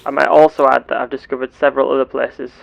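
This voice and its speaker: A man voicing a curious, earnest space explorer with measured, formal, theatrical phrasing, narrating a sci-fi game roleplay. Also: medium pitch, level tone, vocal fry, lo-fi sound, slight background noise. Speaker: gameplay host.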